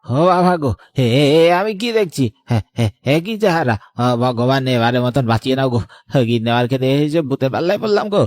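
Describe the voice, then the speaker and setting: Man acting an excitable village farmhand, dry sound. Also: medium pitch, fairly quick, no room sound, natural voice. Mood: terrified. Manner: servile, excitable. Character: superstitious, easily frightened tipsy village farmhand. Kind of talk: Bengali cartoon dubbing.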